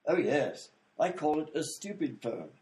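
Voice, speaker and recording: A fussy, long-winded inventor doctor, played by a man, explains everything at length in a comedy radio play. His fairly high voice leaps around in a very animated way. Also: a little slow. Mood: explaining. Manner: explains at length with fussy fillers like "you see".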